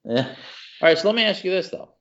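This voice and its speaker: A man co-hosting a podcast in casual chat, gushing and excitable, calling his friend "dude.". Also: high-pitched, quick pace, lo-fi sound. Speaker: podcast co-host.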